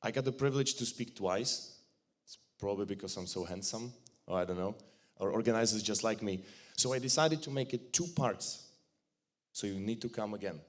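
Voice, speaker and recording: A man with a Czech accent giving a joking, blunt conference talk, with frequent restarts and a fairly low voice. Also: a little fast. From Czech Republic. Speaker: conference speaker. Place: conference hall.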